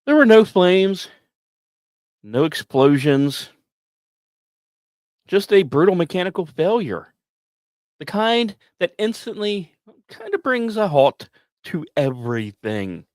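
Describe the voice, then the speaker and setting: A man's voice: high-pitched, sing-song, slow, pauses often, darkish tone, no room sound, studio quality. A man hosting a podcast, reading scripted space news like a dramatic story with conversational asides. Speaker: podcast host.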